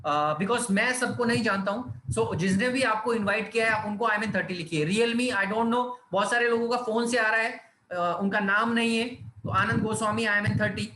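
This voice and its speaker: A man's voice: sits high, normal speed, pushed and bright, echoey room, slight background noise, low-quality recording. A man giving a persuasive online business presentation, repeating himself, using many fillers and reading out names. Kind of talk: live online sales presentation in Hindi-English mix.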